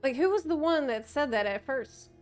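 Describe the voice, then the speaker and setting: A woman game streamer casually thinking aloud in a halting, puzzled way as she plays. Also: high voice, animated. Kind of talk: casual live playthrough commentary and dialogue reading. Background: video game music.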